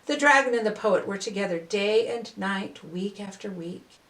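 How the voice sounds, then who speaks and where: An older woman reading a storybook aloud as a storyteller, with measured, deliberate phrasing. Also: medium pitch, a bit bright, far from the mic. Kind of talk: scripted storybook narration. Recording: roomy, echoing voice recording.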